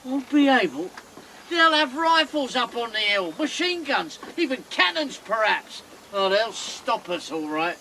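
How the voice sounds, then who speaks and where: A coarse riverboat captain who talks in rough slang and swings from mocking to grumbling. His voice sits high and bright and swoops all over the place. It is a radio drama performance with a faint hiss behind it. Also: big pitch swings, measured pace, exaggerated voice, rough recording. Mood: alarmed. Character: gruff, hard-drinking riverboat captain, man.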